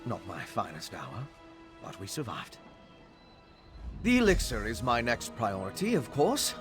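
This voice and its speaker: A man's voice: mid-range voice, wildly swooping pitch, unhurried, fairly bright tone. An actor playing a wry, self-mocking male vampire scholar in a video game, with background music. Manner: wry, self-deprecating, musing.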